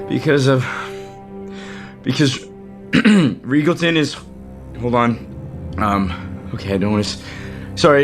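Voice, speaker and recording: A man's voice: medium pitch, a bit bright. Anxious, stammering male executive. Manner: stammering, scattered. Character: anxious, defensive male CEO, rambling, resentful younger brother type. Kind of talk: audio soap opera acting.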